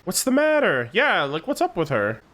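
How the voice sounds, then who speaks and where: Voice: mid-range voice, huge pitch swings, moderate pace, a bit bright. A young adult game streamer, giving casual, slangy reaction commentary. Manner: slangy, conversational, swears. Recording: game music behind the voice.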